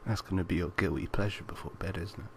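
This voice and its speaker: A man's voice: sits low, steady tone, fast talker, crowd noise. A man co-hosting a casual film podcast, speaking hesitantly and stumbling over his words at times. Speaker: podcast co-host. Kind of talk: casual banter about films.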